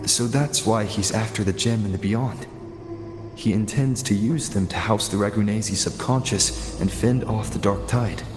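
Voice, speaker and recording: An actor playing an earnest, thoughtful young male adventurer in a video game, speaking in a measured, pensive way. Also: on the low side, natural voice. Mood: pensive, grave. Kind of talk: English video game voice acting. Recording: echoey hall reverb, music behind. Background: game music.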